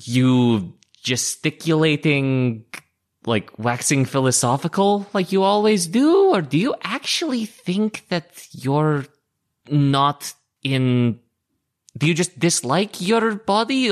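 A tabletop player chats casually in character, asking teasing questions. The delivery is slow, with intonation that swings widely. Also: medium pitch, big pitch swings. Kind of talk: casual improvised in-character chat.

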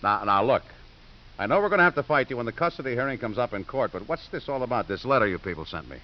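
A man's voice: medium pitch, expressive, fast talker, lightly acted. Man playing a brash wisecracking coach, swooping delivery. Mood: sardonic, defensive. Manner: wisecracking, sarcastic. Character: brash, scheming college football coach and devoted father. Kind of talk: 1950s radio drama acting. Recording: dull, noisy old radio recording.

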